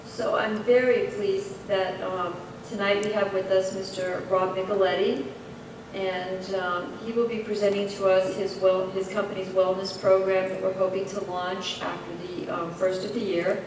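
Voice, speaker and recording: A woman's voice: mid-range voice, level tone, recorded from a distance, background noise. Woman administrator, level delivery, recorded from across a very echoey meeting room. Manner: measured, with frequent "um"s. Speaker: district administrator introducing a speaker. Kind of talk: formal meeting remarks. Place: school district meeting room.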